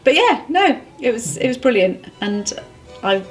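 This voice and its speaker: A woman's voice: on the high side, very animated, a little slow, recorded from a distance, soft background music. A woman chatting casually and enthusiastically as she reminisces in an interview about theatre life.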